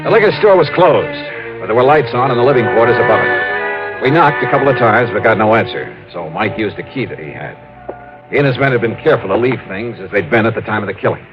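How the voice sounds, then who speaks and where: A man's voice: medium pitch, expressive, quick pace, lightly acted. An actor playing a wry, shrewd insurance investigator who narrates his case in a 1950s radio detective drama. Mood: wry narration. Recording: dull old radio recording, music behind.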